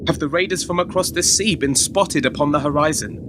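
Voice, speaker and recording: A man's voice: on the high side, brisk, natural voice. A man playing a respectful, concerned young nobleman, a lord's son, in a radio play, with formal declamatory archaic speech. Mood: concerned, questioning. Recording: very noisy amateur radio play recording.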